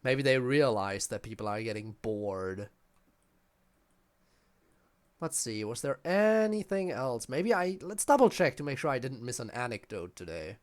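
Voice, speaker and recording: A man's voice: mid-range voice, sing-song, normal speed, hardly any pauses. A man giving casual, wry, informal live commentary as a game streamer.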